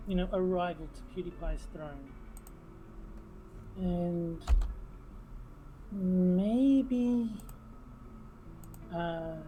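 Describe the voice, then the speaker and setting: A woman co-hosting a casual livestream, making dry, teasing jokes in a relaxed way. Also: lowish voice, noisy background. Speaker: stream co-host.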